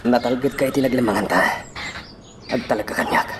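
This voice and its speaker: A man's voice: medium pitch, brisk, very noisy, natural voice. A man with an Ilocano accent playing a protective, determined young hero on the run in a romantic radio drama. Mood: reassuring, resolute.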